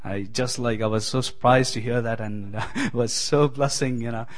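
Keralan man preaching with many pauses. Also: mid-range voice, steady tone. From Kerala, India. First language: Malayalam. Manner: hesitant, many fillers and restarts, friendly. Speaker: guest preacher. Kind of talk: sermon.

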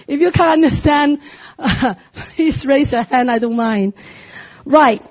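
A woman preaching a sermon in halting English with a Chinese accent, often breaking into shouted praise and pausing on many fillers. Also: high-pitched, dry recording, muffled recording.

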